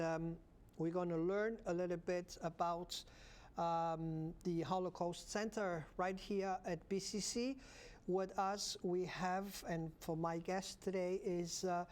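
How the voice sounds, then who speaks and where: A man hosting a local cable talk show, speaking haltingly with many fillers and restarts. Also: high voice, a little mellow, smooth. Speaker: host.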